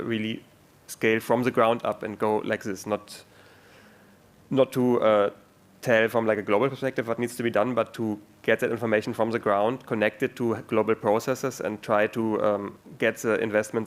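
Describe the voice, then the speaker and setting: A man speaking off the cuff as a conference panel moderator, pausing often mid-sentence to find his words. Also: on the low side, flat delivery. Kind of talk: unscripted panel discussion. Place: live conference event.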